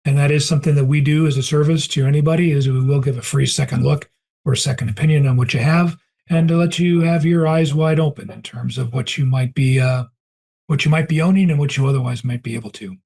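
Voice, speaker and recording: A middle-aged man hosting a podcast and wrapping up the episode in a chatty way, clearing his throat because he is losing his voice. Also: on the high side, fast talker, a little mellow. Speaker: podcast host. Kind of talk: casual podcast outro.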